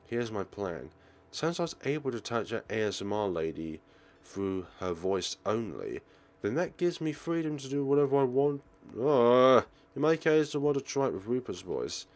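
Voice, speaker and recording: A man's voice: medium pitch, sing-song, normal speed, darkish tone. A man reading a visual novel aloud as a let's player, theatrical, sometimes stumbling and rereading phrases. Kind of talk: game commentary with dramatic read-aloud narration.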